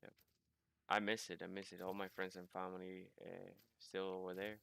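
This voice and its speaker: Low-voiced young man streaming. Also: level tone, normal speed, vocal fry, fairly bright tone, clear, resonant tone. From Puerto Rico. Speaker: game streamer. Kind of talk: casual chat while gaming.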